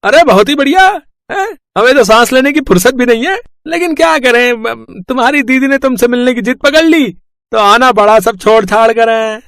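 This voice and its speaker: An actor playing a condescending, boastful brother-in-law who talks bluntly in a scripted moral story. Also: male voice, very high voice, expressive, normal speed, a bit bright, dry recording, studio quality, heavily acted.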